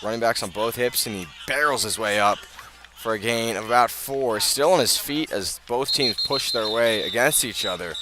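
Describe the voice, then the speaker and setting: Bright-voiced man calling live football. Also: lowish voice. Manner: halting, often corrects himself mid-sentence. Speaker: play-by-play sports announcer. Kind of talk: live high school football radio commentary.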